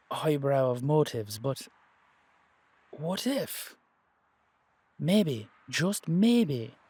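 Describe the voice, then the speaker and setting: Man acting streetwise investigator, audio roleplay, insistent, very unhurried, halting emphasis, close dry sound. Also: a little high, darkish tone, husky, no echo, heavily acted. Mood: intent, explaining. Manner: deliberate, persuasive, halting phrases for emphasis. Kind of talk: dramatic audio roleplay dialogue.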